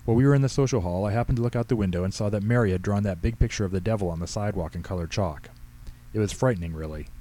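A man acts out a middle-aged small-town pastor in a storybook tale. He is anxious and sheepish, explaining himself with embarrassed earnestness in a lowish, soft-edged mellow voice. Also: racing, close to an everyday voice, rough recording. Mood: earnest, anxious.

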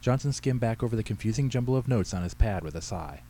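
A man narrates a short story of his own from a script. His voice sits fairly low and is very mellow and dark in tone. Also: lively intonation, fast talker, a little hiss or hum. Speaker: author reading own story.

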